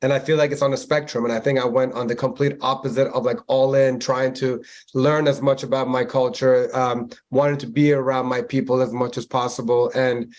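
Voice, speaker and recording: A man in his forties, born in Germany to a Persian family, answering interview questions in a measured, reflective way with frequent filler words. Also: mid-range voice, level tone, darkish tone. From Germany, of Persian family, moved to the US at ten. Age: 40s. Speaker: podcast guest, community organizer. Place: Miami.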